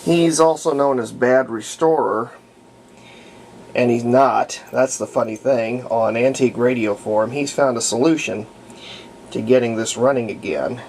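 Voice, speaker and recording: A man with a gravelly, creaky voice explains a hobby repair in casual tutorial talk, pausing mid-sentence as he demonstrates. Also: medium pitch, darkish tone, slight background noise.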